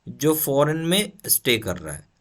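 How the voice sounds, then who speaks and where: A man's voice: mid-range voice, wildly swooping pitch, measured pace. A man with an Indian accent giving an explanatory exam lesson, reading questions aloud and often checking that listeners follow. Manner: instructional, checks in with frequent tag questions. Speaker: exam coaching teacher.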